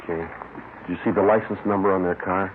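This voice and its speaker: An actor playing a matter-of-fact Los Angeles police detective sergeant in a 1950s radio drama, speaking in a terse, deadpan way. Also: male voice, deep voice, quick pace, background noise, natural voice. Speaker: narrator and lead detective. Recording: muffled old radio recording, loud music.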